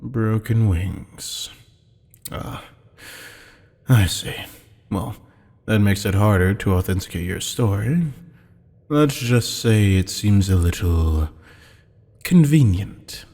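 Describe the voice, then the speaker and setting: A haughty, sardonic demon, acted out by a man for an audio roleplay, sounding amused and teasing. The voice is very deep, dark and slow, with a husky drawl. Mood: skeptical, warning. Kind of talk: scripted audio roleplay acting. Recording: long hall-like reverb.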